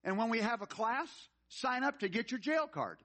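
A man's voice: very high voice, expressive, moderate pace, bright, forceful tone. A man preaching a sermon as a pastor to his congregation, emphatic and repeating phrases for effect, yet conversational, slipping into anecdotes and asides. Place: speaking before a live congregation.

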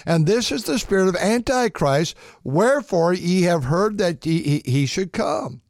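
Raspy male preacher, high and dry-miked, impassioned and repetitive. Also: animated, a little slow, a little mellow, no room sound. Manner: impassioned, repeats words, quotes scripture in old English. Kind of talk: sermon.

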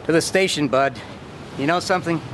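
An actor playing a brusque, threatening highway patrol officer in a radio drama. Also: male voice, fairly quick, close to an everyday voice. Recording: clear outdoor road and car noise.

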